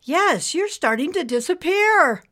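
An eager young girl in a storybook, urgent and insistent, with a high voice that jumps well above the reader's own, as a woman plays her. She pushes her lines out loudly, with a lively, bouncing pitch. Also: a little slow, full character voice. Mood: urgent, excited. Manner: urgent, excited, pleading.

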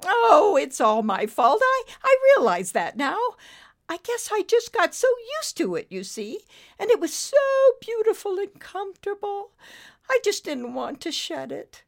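A grand British lady serpent from a storybook. Her pitch sits very high and swoops dramatically. She gushes and draws out her exclamations with flustered, self-pitying charm. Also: sing-song, creaky, exaggerated voice. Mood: rueful. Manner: posh, gushing, melodramatic, drawn-out exclamations.

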